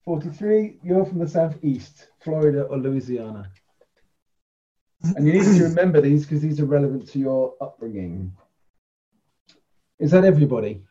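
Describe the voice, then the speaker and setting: A man's voice: on the high side, lively intonation, normal speed, long pauses, mellow, highs cut off. A man casually running a tabletop role-playing game and explaining the rules as game master.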